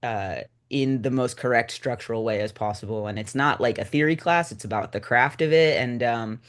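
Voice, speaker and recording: A man's voice: mid-range voice, moderate pace, fairly bright tone. A young man, a screenwriter and teacher, explaining his work in casual interview chat. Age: young adult. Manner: explanatory, informal.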